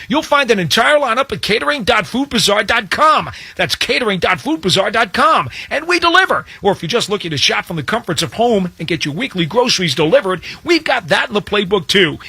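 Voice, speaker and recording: Man, American, upbeat ad voice-over, bright and punchy, high, very dry studio sound. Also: slightly rough, dry recording. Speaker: commercial voice-over. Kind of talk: scripted upbeat radio ad read. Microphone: close studio mic.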